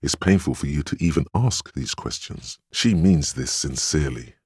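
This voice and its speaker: A man voicing a video game character's inner voice of willpower, solemn, measured and reassuring, giving earnest counsel. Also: very deep voice, lively intonation, breathy edge, dry recording, studio quality, full character voice. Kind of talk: scripted inner-voice narration for a role-playing game.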